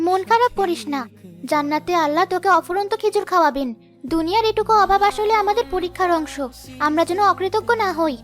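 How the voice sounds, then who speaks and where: A gentle, pious cartoon mother acted by a woman with a high voice. She is patient and consoling, and faint music plays behind her. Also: brisk. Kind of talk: cartoon dialogue.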